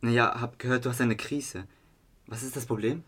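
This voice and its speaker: A man's voice: medium pitch, fairly even intonation, moderate pace, fairly bright tone, slightly rough. A man with a Swiss German accent playing a friendly, slightly awkward teenage boy in a youth radio play. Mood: casual, encouraging. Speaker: actor in an audio drama.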